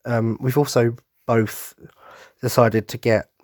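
A man hosting a casual fan podcast, tired and losing his voice, husky, rambling with lots of ums and restarts. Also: medium pitch, flat delivery, takes it slowly, darkish tone, dry recording, clean studio sound. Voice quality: husky, throat-clearing. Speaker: podcast host.

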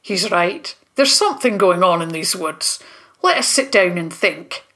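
A woman voicing a brisk, cheerful female teacher in a storybook, talking in a bright, instructive way. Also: lowish voice, big pitch swings. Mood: puzzled.

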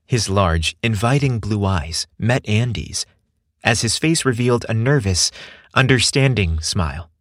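A man reading a scripted romantic story as narrator, in a measured, expressive storytelling manner. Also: on the low side, no echo, studio quality.

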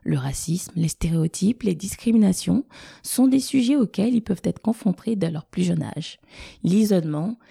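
A woman reading a scripted podcast introduction in a measured, earnest way. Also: lowish voice, expressive, creaky, mellow, breathy edge, no room sound, studio quality. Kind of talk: scripted narration.

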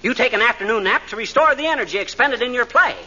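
A man's voice: extremely high pitch, lively intonation, fast, bright, forceful tone, husky, full character voice. An actor playing a cocky, blustering middle-aged man with folksy, slangy banter in 1940s radio comedy style. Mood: exasperated explaining. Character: cocky, folksy, blustering wisecracking husband and schemer. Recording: dull 1940s radio recording.

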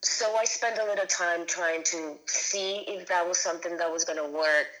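A woman in her thirties from Venezuela, a filmmaker answering interview questions. Her voice sits a little low, and she talks casually, with frequent fillers and restarts as she gathers her thoughts. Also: steady tone, moderate pace, a bit bright, rough recording. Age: 30s. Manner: hesitant, many restarts and fillers. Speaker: guest filmmaker.

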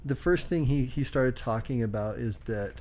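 A man co-hosting a casual podcast, speaking quietly and hesitantly with lots of fillers. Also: mid-range voice, normal speed. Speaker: podcast co-host.

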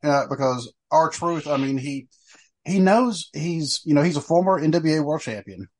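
A man's voice: a little high, expressive, moderate pace, darkish tone. A middle-aged man hosting a casual podcast chat, rambling with many fillers and restarts. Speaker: podcast host.

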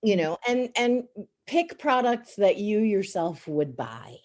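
A middle-aged woman voice actor with a raspy voice, talking in a casual, sarcastic, chatty way in an interview. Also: medium pitch, big pitch swings, slow pace, darkish tone. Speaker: voice actor and teacher.

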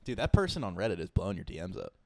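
Animated young man, low voice. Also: rapid-fire. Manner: rambling, laid-back, swears a lot, laughs easily. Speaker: podcast co-host. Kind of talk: casual chat.

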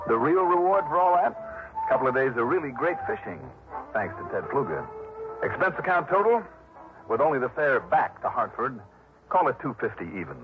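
An actor playing a hard-boiled, unsentimental investigator hero in a clipped, wry 1960s radio drama style. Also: male voice, medium pitch, very animated, fairly quick, lightly acted. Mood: wry, settled. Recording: noisy, muffled old radio recording.

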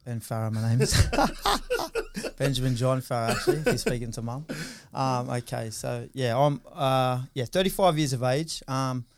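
A man's voice: mid-range voice, moderate pace. A man in his thirties with an Australian accent, telling his life story as an interview guest in a rambling way, with many fillers and restarts. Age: 30s. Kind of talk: casual interview.

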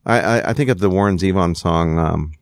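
A man's voice: low-pitched, brisk, no echo, studio quality. A man in his sixties, a songwriter guest musing candidly in an interview, thinking aloud as he goes. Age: 60s. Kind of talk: reflective interview conversation.

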